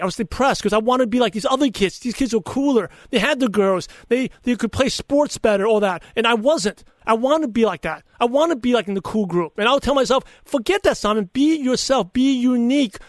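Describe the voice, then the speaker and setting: Man, high-pitched, Brooklyn and Chinese accent, lisp, dry close sound, repeats phrases for emphasis. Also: a little fast, hardly any pauses, no echo. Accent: Brooklyn mixed with Chinese. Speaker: podcaster and coach, interview guest. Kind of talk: conversational interview answers with personal anecdotes.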